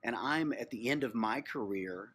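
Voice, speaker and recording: A middle-aged man from Texas telling a personal story informally as a museum co-host, in a reflective, halting way with repeated phrases. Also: mid-range voice, animated, fairly bright tone. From Texas, United States. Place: on location at a historic lodge. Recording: clear background noise, on location.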